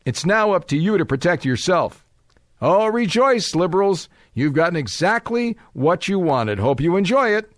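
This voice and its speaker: A man with a slightly high voice and a very animated, swooping delivery, recorded close and dry. He reads aloud as a talk radio host, leaning on words with sardonic emphasis and adding his own asides. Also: moderate pace, no echo. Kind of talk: reading a letter aloud with asides.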